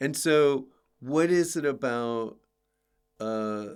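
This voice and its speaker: Guy hosting a comedy podcast, clean clear voice, keeps jumping in with questions. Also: mid-range voice, takes it slowly. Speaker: podcast host. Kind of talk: casual comic interview chat.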